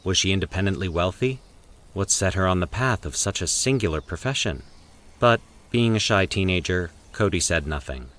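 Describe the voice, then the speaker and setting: A man's voice: deep voice, expressive, normal speed, a bit bright. A man hosting a podcast and narrating a scripted horror short story.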